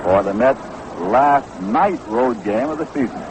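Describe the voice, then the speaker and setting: A 1960s radio broadcast with clear noise and outdoor ballpark ambience carries an American man's voice. He calls baseball play-by-play in a measured, descriptive way, at an average male pitch. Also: animated. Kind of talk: 1960s radio sports commentary.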